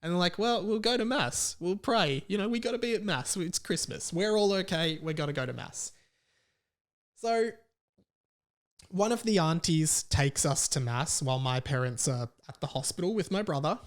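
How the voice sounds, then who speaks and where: A man's voice: high-pitched, animated, normal speed. A man hosting a podcast, telling a personal story casually and comedically, with dramatic emphasis and repetition. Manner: casual swearing. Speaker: podcast host.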